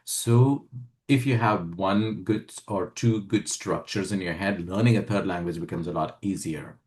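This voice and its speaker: Deep-voiced man, measured. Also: a little mellow. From India. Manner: measured and emphatic, with frequent "uh" fillers. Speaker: guest, communication skills trainer. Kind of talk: interview answers.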